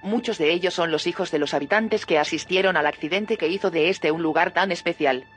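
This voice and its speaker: A woman's voice: lowish voice, brisk, faint music behind, natural voice. An actress playing an earnest, cautious female researcher in an audio drama, explaining in a serious tone. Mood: earnest, insistent.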